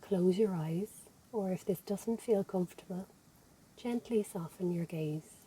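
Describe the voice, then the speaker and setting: A woman with an Irish accent leading a guided meditation as an instructor, speaking in a gentle, soothing, unhurried manner. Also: fairly low pitch, a little hiss or hum, rough recording. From Ireland. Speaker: physiotherapist and yoga instructor leading relaxation.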